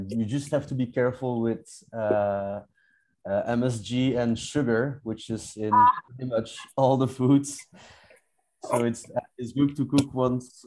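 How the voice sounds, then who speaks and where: A man in his late twenties from Belgium, answering questions in a measured, thoughtful way on a video call. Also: mid-range voice, warm tone, low-quality recording. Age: 20s. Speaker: exchange student. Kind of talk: casual video call chat.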